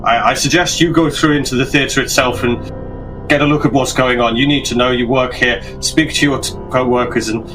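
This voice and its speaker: A man plays an intense male investigator in a tabletop horror game. He is agitated and insistent, with formal, halting phrasing in a medium-pitched voice. Music is clearly audible underneath. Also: fairly even intonation, lightly acted, rough recording. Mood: shaken, apologetic. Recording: music behind the voice.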